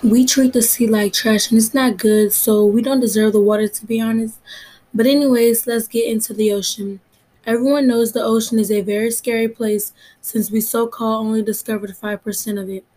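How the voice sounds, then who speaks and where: A young woman hosting her own casual solo podcast, a little hoarse from allergies, chatty and prone to rambling asides. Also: on the high side, fairly even intonation, moderate pace, mellow, poor audio. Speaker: podcast host.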